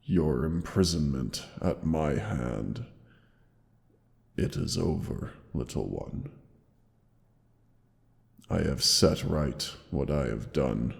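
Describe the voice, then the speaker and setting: A huge old dragon near death, rumbles in an extremely deep voice inside a long, cavernous reverb. He speaks very slowly and solemnly, with weary tenderness, as in a fantasy audio drama. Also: animated, darkish tone, full character voice. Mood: regretful, weary. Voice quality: deep gravelly, breathy sighs. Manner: slow, solemn, halting, tender endearments. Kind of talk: dramatic roleplay audio monologue.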